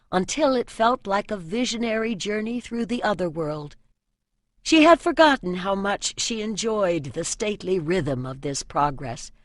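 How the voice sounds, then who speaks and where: A woman reading scripted fantasy narration as an audiobook narrator, with measured storytelling. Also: mid-range voice, dry recording, studio quality.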